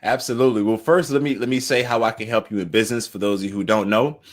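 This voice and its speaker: An American man in his thirties telling his personal story as an interview guest, earnest and halting, often repeating words. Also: fairly low pitch, fairly even intonation. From United States. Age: 30s.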